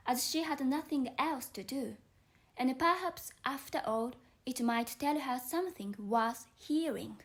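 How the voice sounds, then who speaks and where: A woman who is a non-native English speaker, reading a children's story aloud as scripted audiobook narration. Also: high voice. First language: not English.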